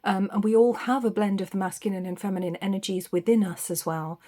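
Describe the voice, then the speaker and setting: A woman giving an intuitive tarot reading to camera, meandering and reflective, with frequent ums and soft restarts, recorded on a phone. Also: mid-range voice, fairly even intonation, fairly quick. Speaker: tarot reader.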